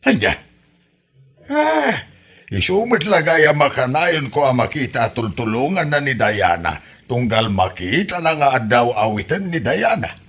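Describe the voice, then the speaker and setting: Man acting protective elder brother, Ilocano accent, animated pitch, husky edge, colloquial, comedy radio drama. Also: measured pace, full character voice. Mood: surprised, helpful. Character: sensible, protective elder brother in village family.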